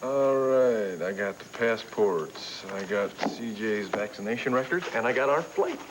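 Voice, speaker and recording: A man's voice: fairly low pitch, a little slow, background noise. An actor playing a cheerful young husband who keeps reassuring his wife. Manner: cheerful, encouraging, lively. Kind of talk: daytime soap acting.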